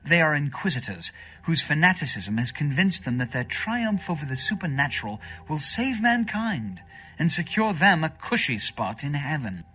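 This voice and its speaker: Video game vampire leader: aloof, commanding, formal, with a bright forceful edge and a husky grain. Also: male voice, fairly high pitch, big pitch swings, exaggerated voice. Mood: scornful, lecturing. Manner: haughty, measured, formal. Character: authoritative, aloof vampire leader issuing orders. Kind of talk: video game dialogue acting.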